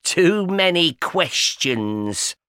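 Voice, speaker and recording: A man's voice: medium pitch, sing-song, slow pace, fairly bright tone, dry recording, clean studio sound. A man voicing a stern older sister who scolds sharply, in a storybook reading. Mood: scolding. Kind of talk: audiobook character voice.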